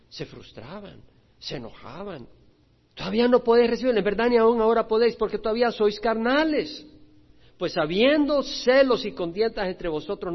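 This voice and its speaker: A man preaching a sermon as a pastor, booming when he reads scripture and dropping low for asides. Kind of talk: expository sermon. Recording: muffled recording with hall reverb.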